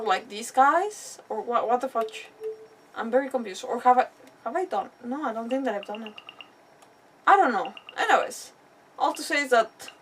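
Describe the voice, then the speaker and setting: A woman livestreams a game with casual, meandering commentary. Her voice is fairly high and picked up a little away from the mic, with faint music playing behind her. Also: fairly bright tone, far from the mic. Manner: rambling, hesitant, mild substitute swearwords. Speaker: game streamer.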